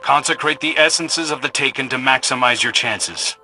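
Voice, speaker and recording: An actor playing a pragmatic military field operator in a video game, giving brisk briefings over a radio. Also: male voice, medium pitch, over music. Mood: focused. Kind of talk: scripted video game dialogue.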